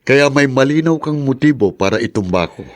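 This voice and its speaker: A man's voice: medium pitch, animated, brisk, dry recording, natural voice. A man with a Filipino accent playing a vengeful, accusing brother in a radio drama, stern and threatening. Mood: accusing, menacing. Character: menacing, vengeful male political rival, accusing brother.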